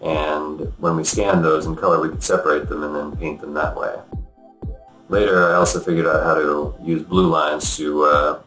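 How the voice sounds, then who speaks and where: A man explaining his work in an interview, speaking in a halting, hesitant way. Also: very deep voice, level tone, fairly bright tone. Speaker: animator, interviewee. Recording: roomy sound with faint music.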